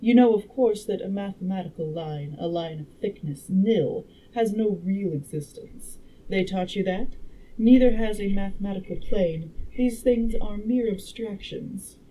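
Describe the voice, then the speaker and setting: A woman's voice: mid-range voice, unhurried, mellow, pitched above the performer's own voice, natural voice, poor audio. A woman playing a clever, assured female time traveler from a classic novel, lecturing in a precise, emphatic way. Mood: earnest lecturing. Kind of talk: acted reading of a classic novel.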